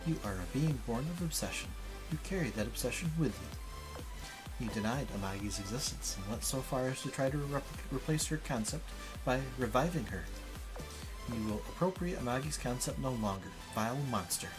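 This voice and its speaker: A man's voice: mid-range voice, lively intonation, moderate pace, natural voice, low-quality recording. A man voicing a stern, righteous noblewoman from a visual novel with formal, solemn delivery. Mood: accusing. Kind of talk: dramatic visual novel read-aloud. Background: game music.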